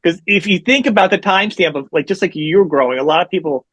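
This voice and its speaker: A man hosting an interview, talking quickly and enthusiastically in a chatty way. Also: high-pitched, fairly bright tone, husky, no echo. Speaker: podcast host. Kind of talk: interview conversation. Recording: dry, dull lo-fi remote audio.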